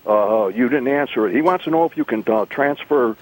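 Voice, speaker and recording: A man's voice: mid-range voice, brisk, poor audio. A man from Rochester, New York, calling in to a radio show, talking hesitantly with many fillers. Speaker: caller. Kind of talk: phone call to radio show.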